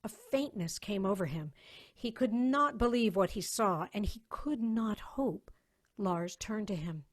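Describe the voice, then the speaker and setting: A woman's voice: medium pitch, lively intonation, unhurried. A woman narrating a science fiction story as a scripted dramatic reading.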